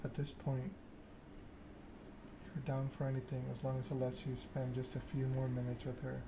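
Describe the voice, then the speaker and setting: A man narrating a story game aloud in ASMR style, reading in a measured way with occasional stumbles. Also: medium pitch, completely flat delivery, creaky, background noise.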